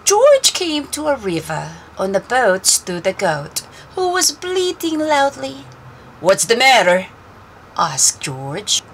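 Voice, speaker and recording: A woman reading a children's story aloud in an expressive, deliberate and animated way. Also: high voice. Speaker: storybook reader. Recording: faint background noise.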